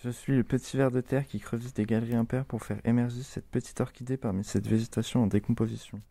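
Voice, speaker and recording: A man playing a small, curious earthworm in an audio fiction, earnest and quietly determined. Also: mid-range voice, fairly even intonation, quick pace, gravelly, dry recording. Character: small, curious, devoted earthworm digging tunnels.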